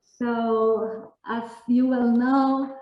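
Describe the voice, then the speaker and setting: A woman's voice: a little high, little pitch movement, extremely slow. A middle-aged woman with a Peruvian Spanish accent, answering interview questions conversationally as a guest. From Peru. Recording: roomy, distant, dull lo-fi sound.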